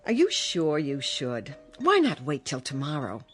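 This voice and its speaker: A woman's voice: deep voice, wildly swooping pitch, a little slow, heavily acted. An actress playing a fussing, smothering middle-aged mother in a radio drama, fretful, coaxing and insistent. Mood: concerned, coaxing. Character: fussing, smothering, anxious mother of grown children. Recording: music bed under the dialogue.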